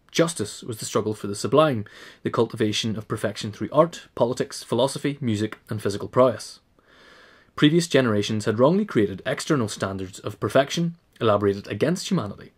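A man narrating a scripted essay in a formal, measured way. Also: medium pitch, breathy edge. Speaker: reader.

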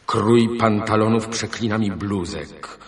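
An actor playing a grand, anguished master fashion designer who declaims solemnly and pompously in a radio play. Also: male voice, lowish voice, slow pace. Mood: bitter cursing. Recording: long hall-like reverb.

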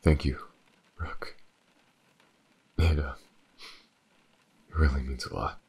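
A man playing a world-weary but tender detective in an intimate audio roleplay, with halting, shy, stammering speech. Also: a little mellow, full character voice. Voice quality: very deep, gravelly, husky. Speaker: voice actor. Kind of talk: audio roleplay, intimate acting addressed to the listener.

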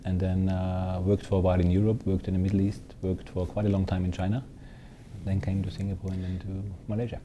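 A man's voice: sits low, flat delivery, fast, dark tone, slight background noise. A man from Austria in his fifties, a hotel general manager giving upbeat, fluent interview answers in a hotel lounge.

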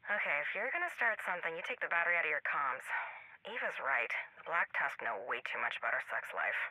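A woman's voice: sits low, sing-song, fast, sharp tone, dry recording, full character voice, rough recording. A woman playing a candid, wry, caring agent in a game, talking conversationally over radio comms. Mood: probing. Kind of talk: dramatic video game dialogue.

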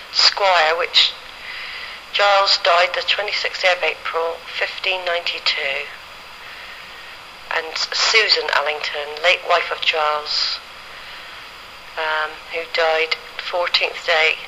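High-pitched, bright-voiced man on cassette. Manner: halting, piecing words together as he reads. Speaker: amateur church and gravestone researcher. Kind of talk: informal spoken field notes, reading inscriptions aloud. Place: in and around country churches and churchyards. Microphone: handheld cassette recorder. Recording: noisy amateur cassette field recording.

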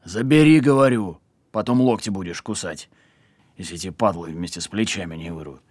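An actor playing a tough, weary criminal on the run, sardonic and full of street slang, in an audio film. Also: male voice, sits low, a little fast, a little mellow, breathy edge, no echo. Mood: urgent, insistent.

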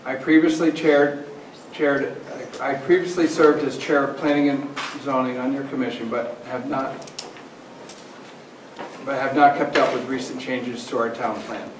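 A man's voice: medium pitch, steady tone, a little slow. A man reading a formal letter aloud at a town meeting, stumbling and repeating phrases now and then. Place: large indoor municipal meeting room. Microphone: far camera microphone. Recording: distant, echoey meeting room with background noise.

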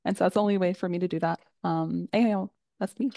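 A woman from Canada chats casually as a panelist, with a gravelly edge to her voice. The sound is dry and close, and she leans on plenty of ums and uhs. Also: lowish voice, fast, no echo. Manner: relaxed, filler-heavy. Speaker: panelist, software engineer. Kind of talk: casual chat.

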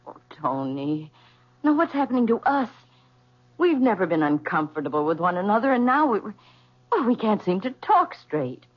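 A woman's voice: mid-range voice, big pitch swings, normal speed. A middle-aged woman acting in a 1970s radio drama as a guarded, wounded mother of a grown son. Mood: guarded, strained. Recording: muffled vintage radio recording.

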